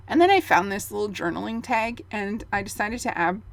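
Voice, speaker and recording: Hoarse gravelly-voiced woman in her 30s rambling on about her craft project, mid pitch, lots of ums. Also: animated, fairly quick. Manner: chatty, rambling, with fillers and soft laughs. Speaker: scrapbooking channel host. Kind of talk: casual voice-over of a craft process.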